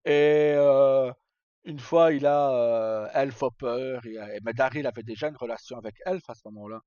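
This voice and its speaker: A man's voice: medium pitch, moderate pace, dark tone, clean tone, no room sound, dull audio. A man chatting casually as a streamer, recounting stories in a rambling, hesitant, colloquial way. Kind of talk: casual live chat, recounting roleplay stories.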